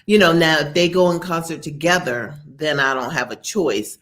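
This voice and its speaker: A woman, chatting candidly as a podcast co-host and acting out quotes. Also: normal speed. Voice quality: deep voice. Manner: candid, animated storytelling with acted quotes. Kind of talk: casual opinion chat.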